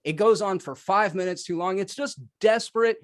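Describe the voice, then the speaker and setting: A man with a distinctly high voice argues his side in a casual debate. His delivery is sarcastic and slangy, full of argumentative banter. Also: moderate pace. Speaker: debate contestant.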